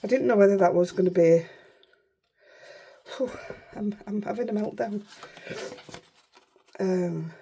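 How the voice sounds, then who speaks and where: A middle-aged woman with a Yorkshire accent, hoarse and unwell, chatting through a casual crafting tutorial in a croaky voice and rambling as she goes. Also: medium pitch, a little slow, pauses often, warm tone, a little hiss or hum. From Yorkshire, England. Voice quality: croaky, rough voice. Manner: chatty, rambling, self-interrupting. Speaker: craft channel host.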